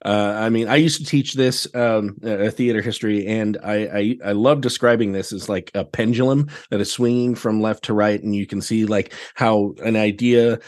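A man's voice: on the low side, moderate pace, few pauses. A man chatting casually as a podcast guest, enthusiastic and given to acting out little asides. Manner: enthusiastic, animated storytelling with acted-out asides. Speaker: podcast guest, theatre director. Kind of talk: casual chat.

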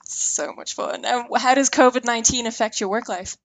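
A woman's voice: a little high, moderate pace. A woman interviewer asking questions and giving brief, encouraging replies.

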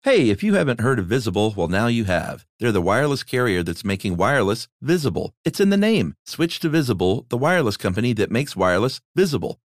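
Guy reading a phone ad, bouncy lively pitch, dry close sound. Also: no echo. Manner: breezy, salesy. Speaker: ad announcer. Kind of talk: upbeat ad read.

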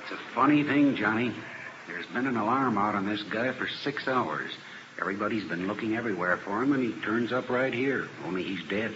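Actor as weary cop, lowish voice, matter-of-fact, muffled noisy old radio. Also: male voice, brisk, lightly acted.

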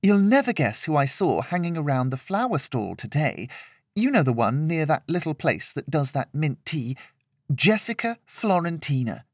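A man's voice: mid-range voice, animated, normal speed, husky, no echo, studio quality. A man voicing a spoiled, chatty young noblewoman with an airy, gossipy manner, in a storybook reading. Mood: gossipy excitement.

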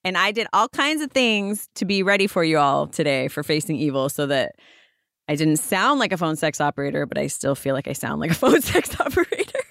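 A woman co-hosting a podcast, raspy from a sinus infection, chatting in a lively, enthusiastic way and laughing often. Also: deep voice, fairly quick, dry recording, clean studio sound. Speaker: podcast co-host. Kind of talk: casual chat.

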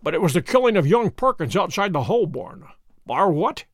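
Man doing a cool, unruffled sleuth: clipped, ironic, fairly high, lively pitch, dry sound. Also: moderate pace, no room sound. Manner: calm, clipped, ironic replies. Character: cool, languid male detective, dryly amused.

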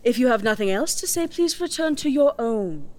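An actress playing a defiant, composed young farm woman in a fantasy audio drama, speaking in a measured, formally polite and pointed way. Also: fairly high pitch, animated, a little mellow, close to an everyday voice. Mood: cool, firm.

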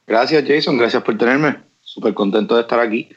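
A man's voice: mid-range voice, fairly quick, breathy edge, muffled recording. A man from Rico, a business guest answering in an interview, hesitant with many restarts and fillers. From Puerto Rico.

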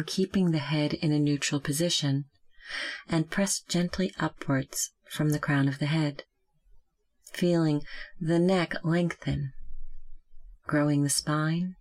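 A meditation guide speaking gently and calmly, giving slow, measured instructions with long pauses. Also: mid-range voice.

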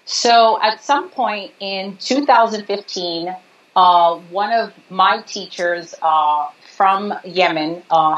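A woman's voice: mid-range voice, fairly bright tone. A woman from New York, a guest in an interview, explaining earnestly and at length. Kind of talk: conversational interview. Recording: slightly dull audio.

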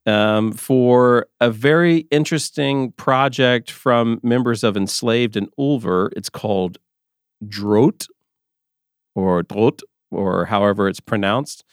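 A man's voice: medium pitch, slow, clear, resonant tone, no echo, clean studio sound. A man co-hosting a music podcast, chatting casually and rambling with lots of filler words. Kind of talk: casual chat.

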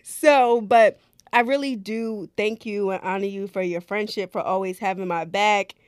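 A woman co-hosting a podcast in casual chat, lively and talkative, laughing often. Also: medium pitch, normal speed, smooth, dry recording, studio quality. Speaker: podcast co-host.

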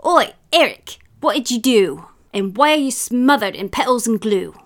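A woman voicing a bossy little girl in a storybook, a scolding tattletale sister who calls out loudly. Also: a little high, animated, normal speed, pitched above the performer's own voice, close to an everyday voice. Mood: accusing. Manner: loud, scolding.

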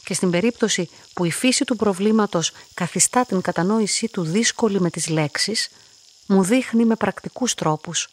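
An actress playing a quiet, lonely young woman who tells her own story in a radio play, in a calm, measured, reflective way. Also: mid-range voice, lightly acted. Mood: composed, content. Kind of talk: radio play monologue, literary first-person narration.

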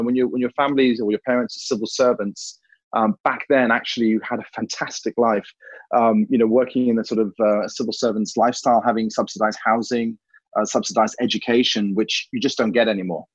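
A man's voice: mid-range voice, fairly even intonation, fast talker, husky, no echo. Rambling man with a dull lo-fi sound. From Hong Kong. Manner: rambling, many "you know" fillers. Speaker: interview guest. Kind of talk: conversational interview answers.

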